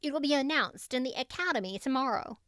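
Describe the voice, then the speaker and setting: A woman's voice: sits high, animated, quick pace, bright, forceful tone, no echo, studio quality, pitched above the performer's own voice. A woman voicing a spiteful, boastful teenage schoolgirl who gushes in slang and offers false pity, in an audiobook story. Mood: gossipy, smug. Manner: gushing, slangy, falsely pitying.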